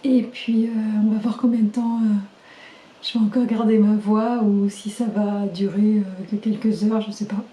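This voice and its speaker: A middle-aged woman recording a casual video diary, chatty and halting with many fillers, her voice slightly hoarse as she loses it. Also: mid-range voice, flat delivery, warm tone. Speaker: vlogger keeping a video diary. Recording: echoey room.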